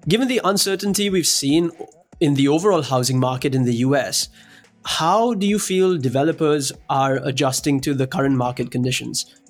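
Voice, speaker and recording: A man's voice: on the high side, normal speed, faint music behind. A man hosting an interview, asking questions in a friendly way and affirming the guest's answers. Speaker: interview host.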